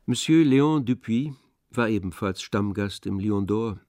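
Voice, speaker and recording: A man narrating a literary radio drama from a script in a measured, descriptive way. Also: fairly low pitch, lively intonation, dark tone, no room sound, studio quality.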